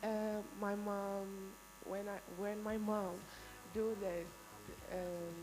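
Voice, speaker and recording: A woman's voice: medium pitch, flat delivery, drawn-out words, background noise. Hesitant teenage woman, humming line. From São Tomé and Príncipe. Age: teens. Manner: hesitant, with fillers. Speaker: pageant contestant.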